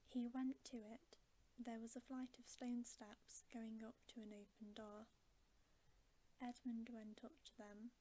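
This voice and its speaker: A woman reading a bedtime story as ASMR in a breathy whisper. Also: a little high, little pitch movement, measured pace, dark tone, rough recording.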